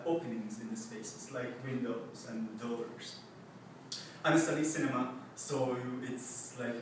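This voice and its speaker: A man's voice: mid-range voice, noisy background. Hesitant young man, echoey and distant. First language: Farsi. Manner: hesitant, loose, many filler words and restarts. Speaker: artist presenting his paintings. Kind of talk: unscripted gallery talk. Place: art exhibition space indoors. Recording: very echoey room, voice from far off.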